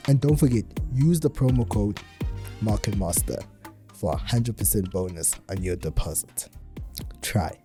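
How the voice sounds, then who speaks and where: A man's voice: fairly low pitch, a little slow, over music. A man hosting a podcast, asking interview questions and reading an ad segment, often dropping in short affirmations. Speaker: podcast host.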